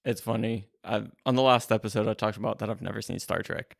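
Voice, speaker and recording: A man's voice: fairly low pitch, fast talker, vocal fry, no echo. A man co-hosting a casual Bible podcast, telling stories with awkward, self-mocking humor into a close microphone. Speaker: podcast co-host. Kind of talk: casual chat about the Bible.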